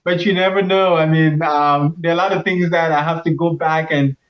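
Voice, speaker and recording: A man's voice: on the high side, fairly even intonation, fairly quick, muffled recording. Earnest man from Cameroon, reflective. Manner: reflective, earnest, with many restarts and fillers. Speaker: pharmacist and leadership coach, guest. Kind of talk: casual interview answers.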